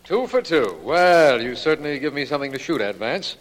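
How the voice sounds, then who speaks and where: An actor playing a good-humored American district attorney, bantering dryly in a 1940s radio drama style. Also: male voice, fairly high pitch, big pitch swings, fairly quick.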